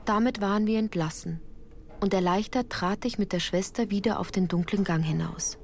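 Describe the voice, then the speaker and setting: A woman reading scripted first-person literary narration for a radio play in a composed, articulate storytelling manner. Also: lowish voice.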